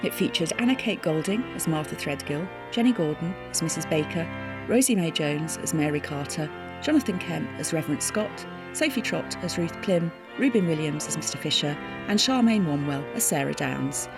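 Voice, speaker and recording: Female credits announcer: slightly low register, scripted read over a music bed. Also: animated, normal speed. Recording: music playing behind the voice.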